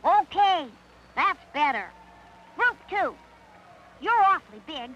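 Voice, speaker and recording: A bossy cartoon parade director with a very high, bright voice. She drills and corrects in deliberate, exasperated orders over slight background noise. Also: sing-song, lo-fi sound, heavily acted. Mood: commanding.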